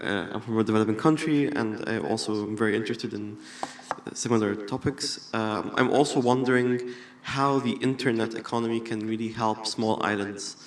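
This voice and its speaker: A man's voice: on the low side, a little hiss or hum. A young man from Egypt, speaking up at a conference, hesitant and filling his sentences with "uh" and "like". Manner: hesitant, lots of "uh" and "like". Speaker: conference audience participant. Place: large conference hall.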